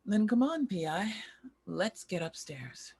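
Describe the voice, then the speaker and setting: A woman's voice: medium pitch, sing-song, slow pace, darkish tone, slightly rough, full character voice. A woman acting a proud, wry aging former child star in a screenplay table read, with a dry theatrical manner. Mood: dry, skeptical.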